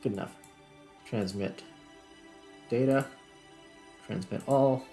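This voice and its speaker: A man with a gravelly, crackly voice at an average pitch hosts a casual gaming video. He thinks aloud and mutters through each step, with faint game music behind him. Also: takes it slowly, mellow. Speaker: host, gaming video creator.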